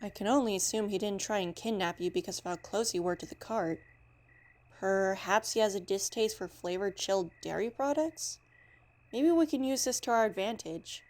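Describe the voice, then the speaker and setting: A woman's voice: medium pitch, normal speed, a little mellow, lightly acted. A woman playing an earnest, thoughtful teenage hero in an audio drama. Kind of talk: fan audio drama acting.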